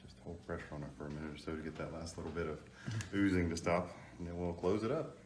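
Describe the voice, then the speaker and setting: Man, very deep pitch, surgeon, matter-of-fact and reassuring, explaining while working, faint background noise. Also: steady tone, fast talker, a little mellow. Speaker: plastic surgeon. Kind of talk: explaining a procedure while operating. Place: indoor surgical procedure room.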